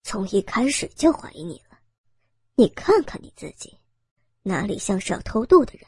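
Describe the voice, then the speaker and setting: A sneering, lecherous gang boss played by a woman narrator, very husky and lowered from the reader's own pitch. The delivery is smug, taunting and menacing, in a dry audiobook recording with faint music. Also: very animated, normal speed, a little mellow, no echo. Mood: mocking, smug.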